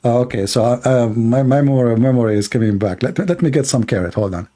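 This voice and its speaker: A man hosting a livestream debate in a mellow, dark-toned voice at an ordinary middle pitch. He rambles sarcastically and mocks, stringing thoughts together with fillers. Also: fast. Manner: mocking, rambling, full of fillers.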